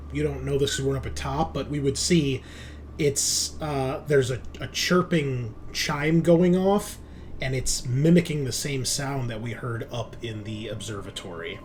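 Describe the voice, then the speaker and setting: A man's voice: on the high side, darkish tone. Man game-mastering over background noise. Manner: conversational, descriptive, self-correcting as he goes. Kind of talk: improvised narration of a tabletop sci-fi game.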